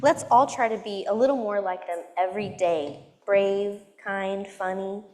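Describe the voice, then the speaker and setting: A woman's voice: mid-range voice, measured pace, warm tone, natural voice. A woman voicing a silly, affectionate puppet with a playful manner in a church children's puppet show. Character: silly, affectionate puppet who jokes about family.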